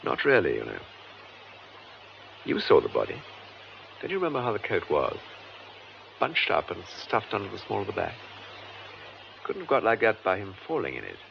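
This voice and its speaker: A shrewd, composed British detective in a radio play. His voice is deep and creaky, with animated intonation, on a slightly muffled recording. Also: normal speed, long pauses, slight background noise, exaggerated voice. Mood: brisk, reasoning. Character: composed, shrewd gentleman police detective superintendent. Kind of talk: radio drama acting.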